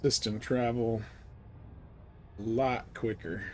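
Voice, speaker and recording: A man's voice: mid-range voice, fairly even intonation, takes it slowly, a little mellow, a little hiss or hum. A man live-streaming a game, giving casual commentary and advice in a rambling, informal way.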